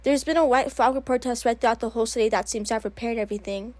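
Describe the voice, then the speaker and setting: Woman as halting news anchor, dry sound. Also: a little high, steady tone, a little mellow, no room sound, natural voice. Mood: awkward relief. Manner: halting, informal, awkward. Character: hesitant, informal female local news anchor. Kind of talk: mock news report in audio drama.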